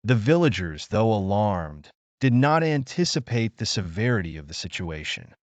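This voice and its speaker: A man narrating a scripted children's story in a measured, earnest storybook manner. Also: lowish voice, very animated, dry recording.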